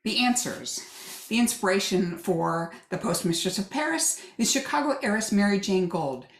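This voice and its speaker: A woman's voice: mid-range voice, lively intonation, normal speed. A woman from the United States reads a scripted author introduction in a polished, rehearsed way. Her voice sits mid-range and rings in an echoey room. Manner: polished, well-rehearsed delivery. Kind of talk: scripted promotional reading.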